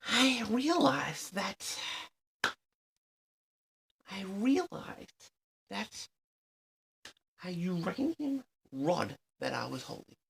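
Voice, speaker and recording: Man acting pompous eccentric male diarist, indignant, theatrical, swooping intonation, creaky voice, comic rambling monologue. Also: on the high side, a bit bright, a little hiss or hum, exaggerated voice. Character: pompous, easily affronted eccentric man keeping an audio diary. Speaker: audio log host.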